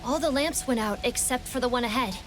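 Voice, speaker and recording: A woman's voice: on the high side, a little fast, over music, close to an everyday voice. A woman playing a polite, bookish young female companion in an anime-style game, speaking in careful, formal phrases. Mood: composed.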